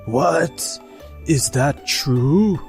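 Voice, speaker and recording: A man playing a stern, dignified elderly company chairman in an anime-style manga dub, measured and authoritative. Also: a little high, animated, darkish tone, faint music behind. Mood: probing.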